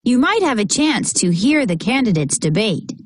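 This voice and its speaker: A woman reading a children's picture book aloud in an expressive, deliberate way for young listeners. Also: fairly high pitch, no echo. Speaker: storybook reader.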